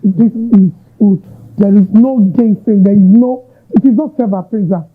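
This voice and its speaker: Male host, Nigerian accent, rambling questions, husky voice, phone-thin audio. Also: high voice, a little slow. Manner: long, winding questions with Yoruba asides. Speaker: interviewer, host. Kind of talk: interview questions. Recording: tinny narrow-band audio.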